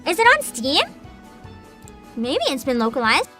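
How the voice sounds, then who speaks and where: A young woman livestreamer with a high, very animated voice that swings up and down as she talks. She chats casually to her viewers in an excitable, giggly, unfiltered way, with music playing behind her. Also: a little slow. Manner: excitable, giggly, informal and sweary. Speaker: livestream host, vtuber. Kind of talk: casual livestream chat and reactions. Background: background music.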